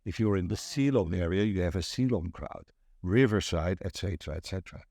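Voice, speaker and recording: A middle-aged or older man from the Netherlands with a Dutch accent, telling stories in a measured, deliberate way as an interview guest. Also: sits low, expressive, gravelly, darkish tone, dry recording, clean studio sound. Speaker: networking event organiser, interview guest. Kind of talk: conversational interview, recounting.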